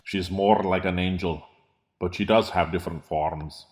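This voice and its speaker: A man's voice: sits low, steady tone, moderate pace, lightly acted. A man voicing a kindly, folksy army sergeant, reassuring in manner, in an audiobook. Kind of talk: audiobook character dialogue.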